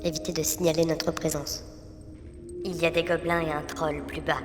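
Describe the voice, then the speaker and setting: Woman acting as a low-voiced elf sorceress, deep reverb. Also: level tone, normal speed, lightly acted. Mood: calm, commanding. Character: female elf sorceress warrior, wary, commanding, calm. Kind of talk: French audio fantasy drama acting. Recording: long cave-like reverb under loud music.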